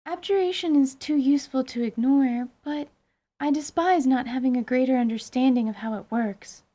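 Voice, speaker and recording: A woman's voice: high voice, moderate pace, dark tone. A woman playing an earnest, scholarly mage and teacher in a fantasy audio drama, explaining in precise, formal words. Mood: earnest, explanatory.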